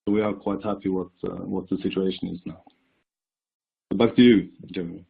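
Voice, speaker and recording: Norwegian-accented man, lowish voice, phone-line audio, hesitant business talk. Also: fairly even intonation, brisk, creaky, husky. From Norway. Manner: careful, hesitant, many fillers. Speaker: company representative panelist. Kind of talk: business presentation.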